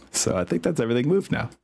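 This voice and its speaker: A man's voice: mid-range voice, big pitch swings, brisk, vocal fry, slight background noise. A man running a tabletop game as game master, casually thinking aloud in an easygoing way. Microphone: online group video call. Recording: music behind the voice. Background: music.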